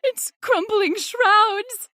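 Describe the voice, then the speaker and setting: An actress playing a terrified young daughter in a radio horror drama, overwrought and fearful. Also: female voice, extremely high pitch, drawn-out words, pushed and bright, no room sound, studio quality, full character voice. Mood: terrified, haunted. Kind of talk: old-time radio drama acting.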